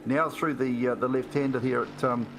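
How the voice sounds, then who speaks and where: A man's voice: medium pitch, fairly even intonation, moderate pace, background noise. A man giving live, conversational motor racing commentary, often asking rhetorical questions as he goes.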